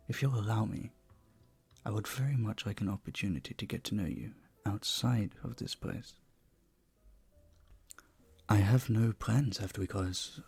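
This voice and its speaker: Man playing a low, creaky-voiced vampire bartender. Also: exaggerated voice. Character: earnest, courteous, flirtatious male vampire bartender. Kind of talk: intimate scripted audio roleplay.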